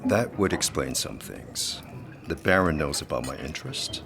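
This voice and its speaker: A wealthy, predatory gentleman speaks in a very low, creaky voice that glides widely in pitch. He is formal, measured and insinuating, a calculating period drama schemer. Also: very animated, noisy background, exaggerated voice. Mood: smooth, calculating. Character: suave, calculating wealthy man with predatory ambitions. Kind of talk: period audio drama acting.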